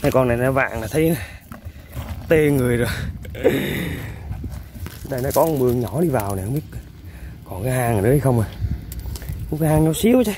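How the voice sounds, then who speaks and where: A man with a Vietnamese accent hosting an outdoor eel-fishing vlog by a riverbank. He gives running commentary to viewers while he works, with excited exclamations. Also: medium pitch, a little fast, pauses often, slight background noise. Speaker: eel-fishing channel host.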